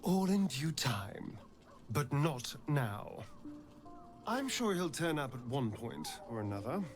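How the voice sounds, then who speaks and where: An actor playing a shrewd, guarded adventurer in a video game, speaking in a deliberate, theatrical way. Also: male voice, medium pitch, sing-song, music in the background. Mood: scheming, resolved. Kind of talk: dramatic video game acting.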